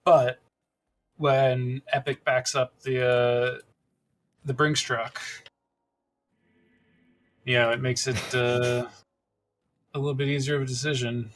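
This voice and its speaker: A man's voice: medium pitch, little pitch movement, takes it slowly, long pauses, a little hiss or hum. A man co-hosting a podcast, giving his opinions in casual discussion. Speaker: podcast co-host.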